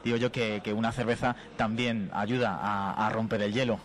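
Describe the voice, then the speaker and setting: A man's voice: lowish voice, steady tone, normal speed. Chatty Spanish male radio reporter. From Spain. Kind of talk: live on-location interview.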